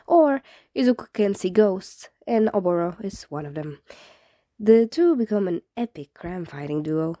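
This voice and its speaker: A woman narrates a piece of fan fiction like an audiobook. Her voice is mellow and relaxed, sitting at a middling pitch. The sound is very dry, with no room around the voice. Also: normal speed, dry recording. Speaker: fan fiction podfic reader. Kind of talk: scripted narration, audiobook-style story reading.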